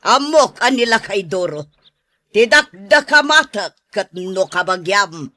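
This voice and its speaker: A scheming, moneyed madam with a sharp, forceful voice. She is brash and commanding, drawing out her words in an unhurried radio drama style. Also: mid-range voice, big pitch swings, no room sound, clean studio sound. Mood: bossy, brash. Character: bossy, scheming, moneyed madam planning a swimming pool business.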